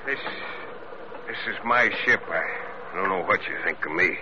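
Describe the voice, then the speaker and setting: An actor playing an old, stubborn, drunken sea captain, rambling and halting in salty seafaring talk, in 1940s radio drama style. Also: male voice, low-pitched, expressive. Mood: earnest pleading. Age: older. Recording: noisy, muffled old radio drama recording.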